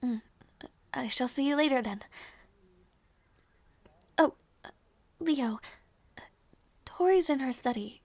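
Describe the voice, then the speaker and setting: A woman playing a gentle, anxious young princess in an intimate audio roleplay drama, speaking softly and haltingly. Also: sits high, dry recording, heavily acted. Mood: hesitant, anxious. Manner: soft, hesitant, halting delivery.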